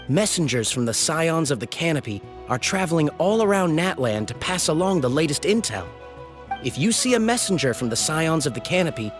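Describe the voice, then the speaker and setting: A man playing a helpful, upbeat young battlefield scout in a video game, earnest and informative. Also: fairly high pitch. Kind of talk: English video game voice acting.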